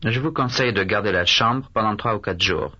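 A calm doctor, read by a man for a language lesson, his lowish voice bright and a little husky. Every phrase comes out slowly and carefully so it can be repeated. The recording sounds dull, like an old course tape. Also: full character voice. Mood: measured. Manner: slow, clearly articulated, repeated phrases. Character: calm adult male doctor. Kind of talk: scripted language drill dialogue.

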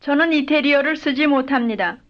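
A woman giving careful, measured full-sentence answers as the model speaker in a recorded language drill. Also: sits high. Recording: dull lo-fi old lesson tape.

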